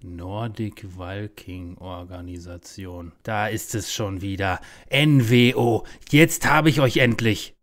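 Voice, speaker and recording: A man's voice: lowish voice, takes it slowly, hardly any pauses. A man playing a paranoid, agitated adult son obsessed with conspiracies in a parody detective audio play. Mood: suspicious. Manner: agitated, overblown, threatening.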